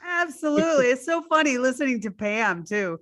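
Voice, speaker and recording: A woman's voice: high voice, animated, a little fast, a bit bright. A woman hosting a casual post-show chat in a giggly, effusive manner. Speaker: theatre company member hosting the chat.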